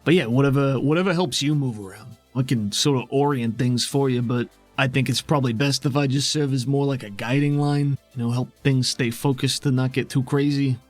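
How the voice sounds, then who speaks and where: A man's voice: mid-range voice, steady tone, brisk, few pauses, faint music behind, close to an everyday voice. A man playing a helpful, cautious guide in an improvised tabletop game, casual and reassuring. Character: helpful, cautious male guide with circuitry, inside a brainscape. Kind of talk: improvised in-character game dialogue.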